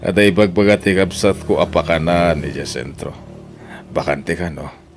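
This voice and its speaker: Man acting a deep-voiced, doting Ilocano father. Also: fairly even intonation, moderate pace, creaky, a little hiss or hum. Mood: easygoing. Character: affectionate middle-aged father remarrying, tender to new wife. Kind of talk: Ilocano audio drama acting.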